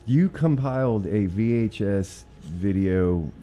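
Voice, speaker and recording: A man hosting a radio show, asking questions in a relaxed, conversational way. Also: on the low side, slow pace, slight background noise. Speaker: radio host. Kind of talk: casual radio interview.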